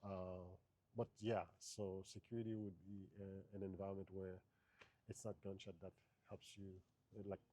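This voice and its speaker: Thoughtful Haitian-born man, low voice. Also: little pitch movement, moderate pace, dark tone. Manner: thoughtful, hesitant, many fillers and restarts. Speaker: interviewee. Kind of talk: casual interview answers.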